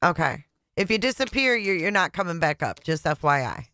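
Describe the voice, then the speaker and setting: A woman's voice: on the low side, sing-song, fairly quick, bright, forceful tone, no room sound, clean studio sound. A woman hosting a casual live call-in stream, bossy and teasing with the people she talks to.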